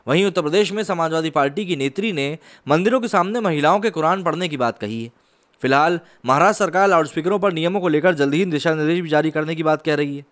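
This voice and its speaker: A man's voice: a little high, fairly bright tone. A man hosting a news commentary, reading reports in an earnest, formal way. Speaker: YouTube news host and commentator.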